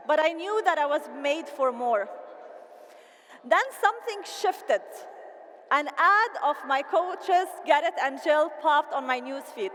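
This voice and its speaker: Lebanese woman, high voice, stage speaker in a big echoing hall; animated, playful. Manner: animated and playful, rallying the crowd. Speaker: convention speaker. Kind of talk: motivational stage speech. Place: large convention hall. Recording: reverberant hall, faint ambience.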